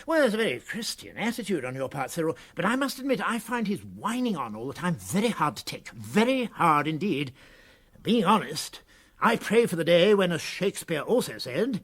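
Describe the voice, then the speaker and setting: A man's voice: sits high, big pitch swings, normal speed, breathy edge, full character voice. A man with a British accent playing a pompous, weary, self-important prison governor in a radio comedy. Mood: weary, genial. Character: pompous, weary male prison governor writing his memoirs.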